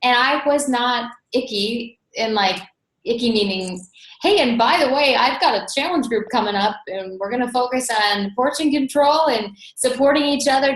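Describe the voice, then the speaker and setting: An American woman from North Dakota hosting an informal webinar, chatty and enthusiastic, recorded indoors over an online call. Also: on the high side. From North Dakota, USA. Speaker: webinar host and team coach. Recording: roomy echo.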